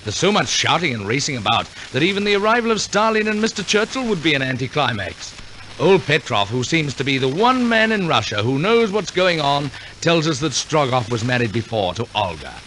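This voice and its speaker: Bright, animated 1940s radio announcer man. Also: a little high, fairly quick. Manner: theatrical, wry tongue-in-cheek storytelling. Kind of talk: 1940s radio operetta scripted narration. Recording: noisy 1940s radio broadcast recording.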